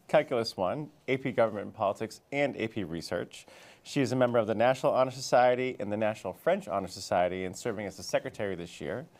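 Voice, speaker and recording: Middle-aged guy w a lowish voice, school dean chatting easy, lots of uhs. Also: darkish tone. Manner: friendly, loose, many "uh" fillers. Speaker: school dean hosting student interviews. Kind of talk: casual school TV interview.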